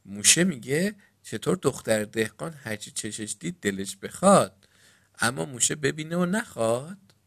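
A man's voice: mid-range voice, sing-song, creaky, fairly bright tone, heavily acted. Storybook farmer father, acted by a man: cajoling, feigning innocence, mid-range voice. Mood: sly. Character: farmer father, cajoling his young daughter with tall tales. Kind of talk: children's storytelling.

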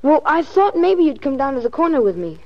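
A twelve-year-old newsboy in an old-time radio drama. The voice is boyish and a bit high, earnest and businesslike in a streetwise way. The 1940s recording sounds slightly dull. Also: female voice, sing-song, brisk. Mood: confiding.

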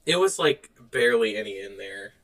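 A man's voice: fairly low pitch, expressive, moderate pace, fairly bright tone. A man co-hosting a podcast, chatting casually about movies in a blunt, opinionated way. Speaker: podcast co-host.

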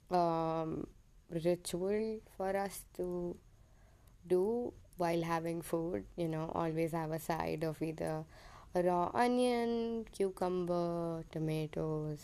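A woman's voice: on the low side, creaky, dark tone. A young woman from India with an Indian English accent that drifts toward American, hosting her own podcast in a hesitant, personal monologue full of ums. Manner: hesitant, many ums and self-corrections. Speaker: podcast host.

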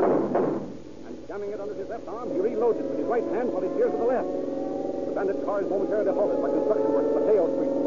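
Urgent old-radio crime narrator, man. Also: high-pitched. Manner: urgent, dramatic. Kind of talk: 1930s radio drama narration. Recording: muffled old radio broadcast with loud hiss.

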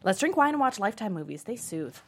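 An actress plays an anxious sitcom woman who blurts out sarcastic wisecracks. Her voice is rough-edged and husky at a middle pitch, and it jumps around expressively in comedy table-read style. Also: sing-song, fast. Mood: scheming, wry.

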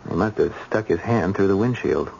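An actor playing a sober, clinical male hospital doctor reporting gravely in an old-time radio drama. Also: deep voice, a little fast, darkish tone. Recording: dull lo-fi radio recording, faint noise.

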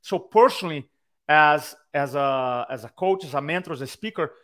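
A man's voice: fairly high pitch, moderate pace. A man with a Brazilian accent, a speaking coach telling stories in a podcast interview over a video call. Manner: animated storyteller, acts out quotes. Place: his own room on a video call.

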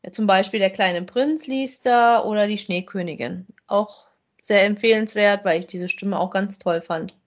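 A woman speaks as co-host of a podcast. Her pitch is average for a woman, and her style is casual and conversational. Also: moderate pace.

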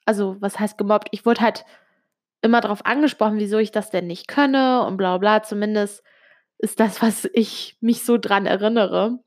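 A woman's voice: mid-range voice, steady tone, moderate pace. A young woman from Switzerland, Italian her first language, telling a personal story straight to the listener in a confiding, casual way with many small fillers. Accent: Italian-Swiss German speaker with little Swiss accent. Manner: confiding, addresses the listener directly, frequent fillers. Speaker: vlogger.

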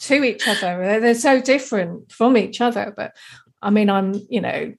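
A woman hosting a casual interview, chatty and agreeable, with plenty of quick yeahs. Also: mid-range voice, creaky. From English-speaking country, now living in Denmark. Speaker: host.

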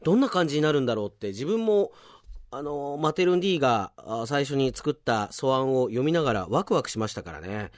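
Chatty Japanese man hosting radio, lively pitch. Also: a little fast, no room sound, clean studio sound. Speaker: radio announcer and drama show host. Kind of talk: casual host commentary and reading listener mail. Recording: music bed under the voice.

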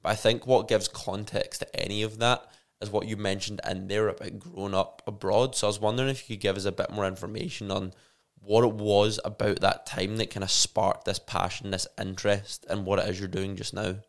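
Gravelly-voiced podcast host. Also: male voice, fairly low pitch, level tone, brisk. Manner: friendly, enthusiastic questioning with fillers. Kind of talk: casual interview hosting.

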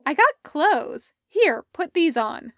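Lady doing a quirky bubbly young woman from a story, way up high, eager n kinda childlike. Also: big pitch swings, takes it slowly, no room sound, heavily acted. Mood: excited. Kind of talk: storybook character voice.

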